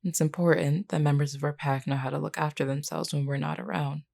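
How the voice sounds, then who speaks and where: A woman playing a flirty, self-assured female werewolf in an intimate audio roleplay, teasing and blunt, with a low, mellow, gravelly voice. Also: fairly even intonation. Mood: casual, candid. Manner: teasing, blunt, unhurried, uses pet name "hun".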